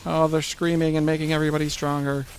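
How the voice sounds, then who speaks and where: A man's voice: a little high, little pitch movement, fast. A man playing a video game and chatting casually, with wry joking asides. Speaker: gaming video co-host and player. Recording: slightly noisy home gameplay recording.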